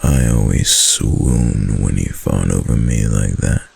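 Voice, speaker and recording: Casting a male actor for a clingy yandere fox-spirit husband, intimate ASMR roleplay; slow, heavily creaky murmur, tender and obsessive. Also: darkish tone, full character voice. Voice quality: deep, breathy, gravelly. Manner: slow, hesitant, coaxing endearments.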